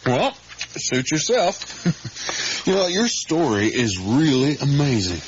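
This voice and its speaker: Animated, genial, curious father. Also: mid-range voice, slow. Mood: interested, friendly. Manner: genial, polite, inquisitive. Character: curious, easygoing educated father on a road trip. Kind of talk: 1980s radio drama acting. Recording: muffled, noisy 1980s radio drama recording.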